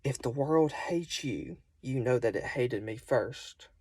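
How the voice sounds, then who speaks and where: A man's voice: mid-range voice, slow, a little mellow, breathy edge, dry recording. A young man with a Southern US accent, preaching in an informal, folksy and conversational way. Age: young adult. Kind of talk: informal sermon. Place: solo self-recording.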